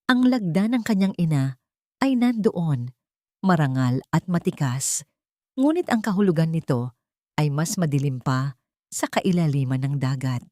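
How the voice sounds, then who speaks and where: A woman's voice: deep voice, animated, a little slow, long pauses, darkish tone, no room sound, clean studio sound. A woman with a Filipino accent narrating a scripted drama in a solemn, theatrical manner. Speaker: story narrator.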